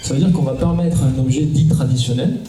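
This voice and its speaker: A man's voice: mid-range voice, quick pace, dark tone, soft background music. A man in his forties from southern France, a startup cofounder explaining his company on a conference panel, with many hesitant fillers. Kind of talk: round-table conversation. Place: conference hall. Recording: echoey hall, distant mic, faint noise.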